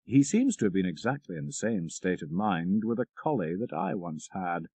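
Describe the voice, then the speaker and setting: A man narrating a classic supernatural tale in a measured, expressive storytelling manner, with dramatic emphasis. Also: low voice, husky, dry recording. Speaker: audiobook narrator.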